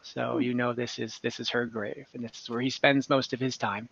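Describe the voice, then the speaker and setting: A man narrating an online tabletop game as game master, casual, halting and lightly amused, improvising as he goes. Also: mid-range voice, level tone, vocal fry, a bit bright, breathy edge, no room sound. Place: at home indoors on a group video call. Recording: slightly dull video-call audio.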